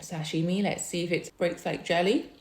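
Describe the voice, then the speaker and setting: A woman's voice: lowish voice, fairly even intonation, moderate pace, roomy sound. A young woman from Wales, hosting a casual eating vlog, chatting about herself and humming as she tastes her food. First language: Chinese. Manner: chatty, savouring food with soft hums between remarks. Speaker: food vlogger.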